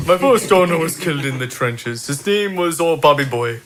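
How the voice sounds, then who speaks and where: A man plays an aging wooden rocking horse in a comedic toy-chest audio drama. The voice sits fairly high for a male. It rambles and grumbles drily about bygone days. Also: expressive, normal speed, a crowd in the background, natural voice. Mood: nostalgic.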